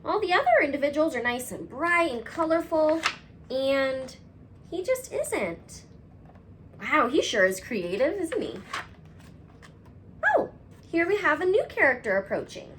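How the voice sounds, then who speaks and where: A woman reading a picture book aloud to children, expressive and cutesy, talking directly to her young listeners. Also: high-pitched, measured pace, pauses often, slight background noise. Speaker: read-aloud host, storyteller for children.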